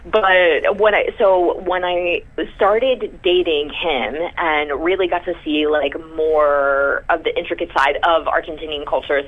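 A woman's voice: on the low side, narrow, tinny audio. A woman from the United States, a guest in an interview, talking in an enthusiastic, chatty way with many fillers. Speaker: guest, content creator. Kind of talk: conversational interview.

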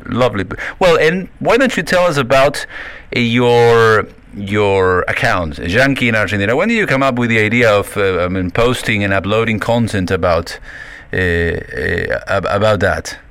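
A man's voice: lowish voice, fairly bright tone, dry recording. A man hosting a podcast, interviewing a guest, often stopping and repeating words as he asks questions. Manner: halting, many repetitions and restarts. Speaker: podcast host.

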